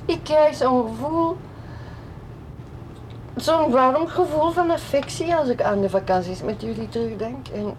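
Middle-aged mother, nostalgic, sentimental, slightly high voice, radio play dialogue, light background noise. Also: a little slow, poor audio.

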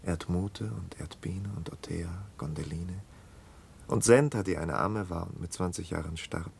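A low, gravelly man broods through a haunted confession in a radio drama. He speaks haltingly and intensely, often sinking toward a whisper. A faint rumbling noise sits behind the voice. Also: a little mellow, full character voice. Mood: bitter, haunted. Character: brooding, haunted male killer confessing in fragments. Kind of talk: literary radio drama acting.

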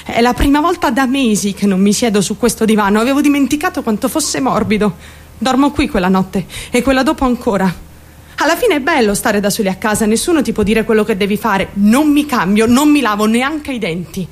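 A woman's voice: a little high, expressive, fairly quick. An actress playing a troubled teenage girl who recounts her life in an intense, confiding dramatic radio monologue. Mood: naive confiding. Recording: radio drama over background music. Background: music.

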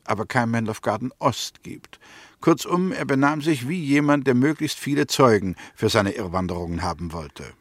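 An actor playing a coolly accusing prosecutor in a 1960s radio drama, measured, pointed and methodical. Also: male voice, mid-range voice, no echo, natural voice. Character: coolly accusing male prosecutor reconstructing a suspect's movements.

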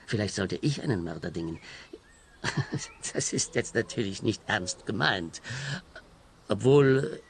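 A man's voice: on the low side, expressive, takes it slowly, long pauses, a little hiss or hum. A man with a Swiss accent acting a resigned middle-aged husband in a radio crime comedy, matter-of-fact and world-weary. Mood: dryly musing.

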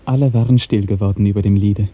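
A man narrating a literary 1950s radio drama in lyrical, measured, formal diction. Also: fairly low pitch, steady tone. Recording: tinny 1950s radio recording, music behind.

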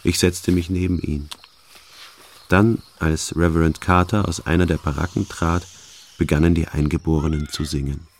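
A man acts a soldier narrating his own expedition in a radio drama. His voice is very low. He reports soberly and plainly, as matter of fact. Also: steady tone, normal speed, slight background noise, natural voice. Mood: sober recounting. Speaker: first-person narrator.

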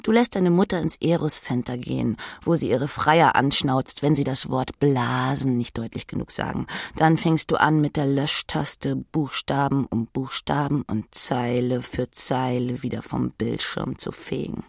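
A woman narrates literary fiction in a markedly creaky voice. The reading is scripted, addresses a "you", and sounds dry and close. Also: very deep voice, a little slow, few pauses, no echo. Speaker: audiobook narrator.

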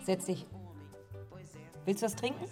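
A woman acting in a German radio drama as a wry, streetwise woman who teases in a deadpan, colloquial way. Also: mid-range voice, a little slow, in a large hall, over music, natural voice. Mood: reluctant, dry. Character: wry, streetwise woman, mocking but tolerant.

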